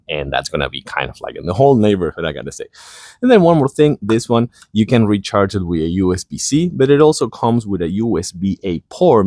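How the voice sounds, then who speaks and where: A man's voice: low voice, darkish tone. A man giving a casual, enthusiastic product review, showing and explaining things informally to the viewer.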